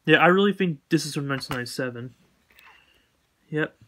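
A man with a slightly high voice casually shows items from his collection. He talks haltingly and repeats himself, keeping a plain, matter-of-fact delivery throughout. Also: a bit bright. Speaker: collector showing purchases. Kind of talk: casual unscripted collection update.

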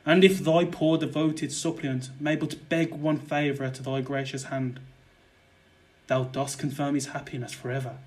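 Young guy playing a scheming killer nobleman sweet-talking in old verse drama, pleading, highish male voice. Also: flat delivery, moderate pace, natural voice. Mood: tender flattery. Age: young adult. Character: scheming murderous nobleman, a smooth-tongued ardent suitor. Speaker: student actor. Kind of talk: Jacobean and Shakespearean verse audio drama.

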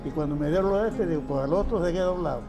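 A man's voice: a little high, rapid-fire. An older man, a former patient, answering interview questions in plain, repetitive speech with frequent chuckles, outdoors on a patio. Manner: plain-spoken, repeats phrases, chuckles often. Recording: music bed underneath. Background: background music.

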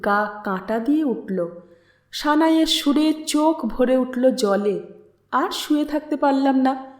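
A woman reading a literary story aloud as an audiobook narrator, in an expressive, dramatic way. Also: sits high, a little slow. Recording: roomy echo on the voice.

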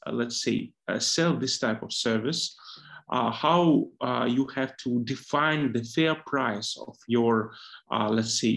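A man in his thirties from Ukraine, interviewing on a video call with halting, filler-heavy questions. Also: medium pitch, creaky.

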